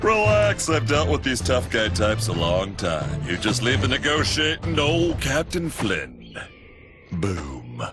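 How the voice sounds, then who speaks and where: An actor with an American accent voicing a cocky, boastful, bumbling furry pilot in a cartoon game. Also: male voice, medium pitch, huge pitch swings, unhurried, over music. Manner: brash, boastful, breezy.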